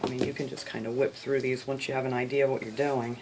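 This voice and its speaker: A low-pitched woman talks through a craft project in an easygoing, chatty way, sounding sniffly. She keeps slipping in "you know" while she explains each step. The home recording has clear background noise and clicks. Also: flat delivery, fast. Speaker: craft video host. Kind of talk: casual step-by-step craft tutorial.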